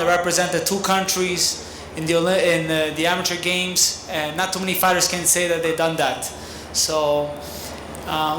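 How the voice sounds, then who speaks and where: A man, speaking earnestly at a podium as a boxer at a press conference. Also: on the high side. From Italy. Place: large arena press conference stage. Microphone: podium microphone. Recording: echoey hall with noise.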